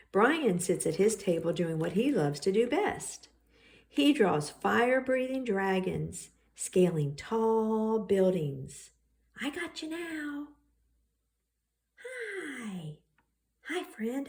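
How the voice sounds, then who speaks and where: A woman reading a children's picture book aloud as a storyteller, with expressive and deliberate phrasing. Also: medium pitch. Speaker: storybook reader.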